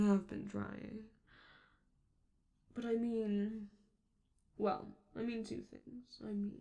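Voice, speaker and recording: A performer, a woman, playing a vain, affectionate and theatrical robot star in an ASMR roleplay, with halting, doting asides and a creaky voice. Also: medium pitch, a little mellow, full character voice. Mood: playfully vain.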